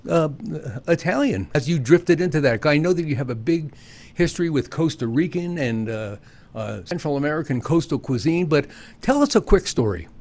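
A man's voice: fairly high pitch, animated, normal speed, a little mellow. Man hosting a food talk show. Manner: hesitant, many uhs. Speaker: show host. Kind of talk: podcast interview hosting.

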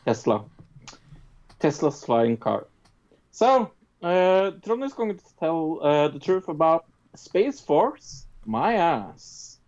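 A man with a fairly high voice who talks slowly in a casual podcast chat. He drops wry, joking asides. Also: very animated, a little mellow, dull audio. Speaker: podcast host.

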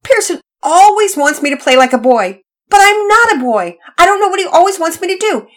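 A sensitive little sister in a children's story, voiced by a woman. Her voice sits high and swings about as she protests in a plaintive, defensive way. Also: big pitch swings, a little fast. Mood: tearful, upset. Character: sensitive, reluctant little girl, younger sister.

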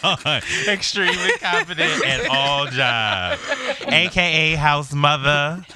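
A man co-hosting a podcast in casual chat, playful and laughing often, jumping between loud bursts and quiet asides. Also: fairly high pitch, lively intonation, slow pace, noisy background. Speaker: podcast co-host.

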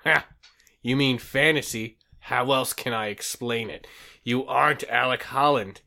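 Mocking villainous demon, read by a male podcaster: mid-pitched, sneering, relishing every line. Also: measured pace, gravelly, pushed and bright. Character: smug sinister male demon, mocking and gleeful.

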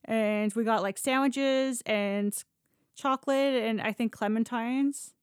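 A woman's voice: a little high, slow, clean tone, dry recording, studio quality. A Canadian woman telling stories casually on a podcast, candid and sweary, often acting out dialogue as she goes. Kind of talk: casual conversational storytelling.

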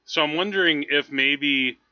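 A man co-hosting a podcast in casual conversation, animated and often feeling his way through a thought. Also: a little high, unhurried, smooth, no echo, lo-fi sound. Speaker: podcast co-host. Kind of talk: casual movie chat.

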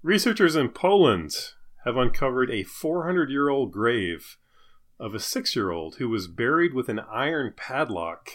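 A man with a medium-pitched voice co-hosts a casual comedy podcast. He delivers satirical news jokes and banter in a self-deprecating, jokey way, prone to laughing at his own material. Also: fairly bright tone. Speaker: podcast co-host and joke writer.

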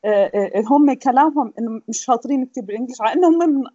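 Husky, mellow woman, fast and dry. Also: high-pitched, animated, no room sound, dull audio. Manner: fast, fills pauses with hesitations. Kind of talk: casual group chat.

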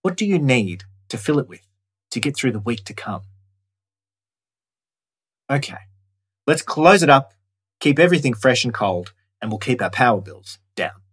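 A man with a slightly husky voice, narrating a scripted audio guide in a conversational way that instructs the listener directly. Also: mid-range voice, big pitch swings, normal speed, long pauses, fairly bright tone.

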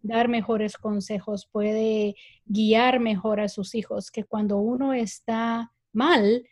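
A woman from Guatemala with a Guatemalan Spanish accent, speaking slowly and thoughtfully with frequent hesitations as a guest in a discussion. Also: on the high side, steady tone, a little mellow. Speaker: guest speaker on parenting and self-care. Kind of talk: casual discussion.

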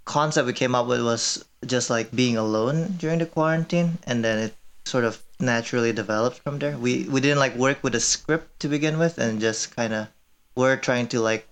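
A man's voice: medium pitch, normal speed, clear, resonant tone. A man in his twenties from Indonesia, answering casually and tentatively as a student filmmaker in an interview. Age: 20s.

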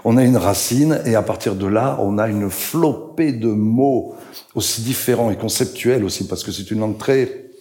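An older man talking as a guest on a panel in an earnest, halting way, with frequent fillers. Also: fairly low pitch, warm tone. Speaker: author guest. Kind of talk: panel conversation.

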